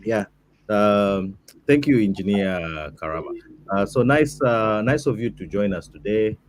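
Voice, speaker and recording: A man from Zambia leading a mock interview panel, talking in a relaxed, hesitant way with many fillers. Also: lowish voice, a little slow.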